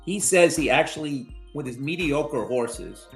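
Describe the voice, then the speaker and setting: A man as the guest in a casual podcast chat, explaining his reasoning in a measured way, restarting often and checking in with "right?". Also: a little high, animated, soft background music.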